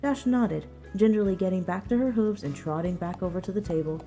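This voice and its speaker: A woman narrates scripted fiction in a mellow, relaxed tone. Her pitch sits in the middle, and music plays faintly behind her. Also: fairly quick. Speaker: narrator, fan fiction reader.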